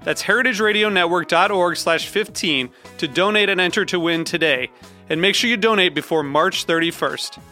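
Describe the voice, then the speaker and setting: A man's voice: high-pitched, a little fast, pushed and bright. A man reading a scripted fundraising promo. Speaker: promo announcer. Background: music.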